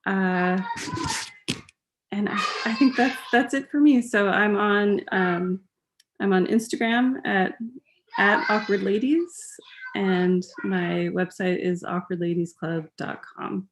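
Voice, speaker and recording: A woman shows her self-published workbook over a video call, unhurried and full of ums and restarts. Her pitch sits in a middle range. A faint hiss runs under her voice. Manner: hesitant, many ums and restarts. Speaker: zine exhibitor. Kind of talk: unprepared show-and-tell presentation.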